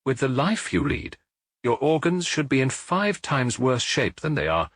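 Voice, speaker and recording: A man voicing a brisk, frank family doctor who reassures his friend in an audiobook. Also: mid-range voice, big pitch swings, a bit bright, no room sound, studio quality, voice pushed up. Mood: firm. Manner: brisk, reassuring, lightly teasing.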